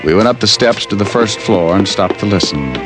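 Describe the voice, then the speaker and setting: An actor playing a tough, wry, wisecracking private detective in an old-time radio drama, with clipped hard-boiled delivery. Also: male voice, fairly low pitch, brisk, natural voice. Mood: narrating, tense. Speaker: lead actor and narrator. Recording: vintage radio recording with music.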